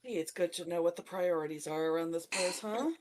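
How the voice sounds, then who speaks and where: A woman's voice: lowish voice, fairly quick, a little mellow, slight background noise. A woman playing a tabletop roleplaying game, speaking in character with a wry, sardonic manner.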